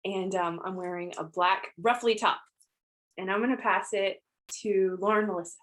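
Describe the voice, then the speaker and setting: A woman's voice: medium pitch, fairly even intonation, moderate pace, fairly bright tone. A woman from the United States introducing herself to a round table in an informal, chatty way. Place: indoors on a group video call.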